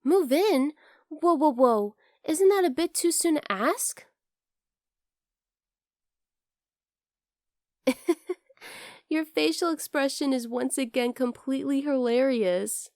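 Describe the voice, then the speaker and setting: Woman voicing a high-pitched, playful young bunny girl. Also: unhurried, a little mellow, no echo, clean studio sound. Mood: amused, affectionate. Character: teasing, outdoorsy young bunny girl, curious about humans. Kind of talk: ASMR roleplay addressed to the listener.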